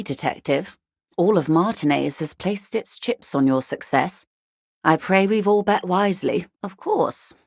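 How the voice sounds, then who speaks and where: A woman voicing a poised upper-class older woman in a video game, measured and articulate, with dryly ironic, condescending politeness. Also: fairly low pitch, no echo, studio quality. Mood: dry, knowing. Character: poised, wry upper-class older woman, unrepentant ultra-liberal negotiator. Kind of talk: video game character dialogue.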